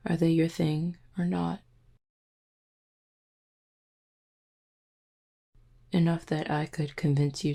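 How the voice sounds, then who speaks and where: A woman voicing a flirty, composed female werewolf second-in-command in an audio roleplay, teasing and measured, with a low, husky voice. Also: dark tone, full character voice. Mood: coy, composed. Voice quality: low, husky, breathy with some creak. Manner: teasing, measured, deliberate wording. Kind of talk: roleplay audio drama, intimate one-sided dialogue.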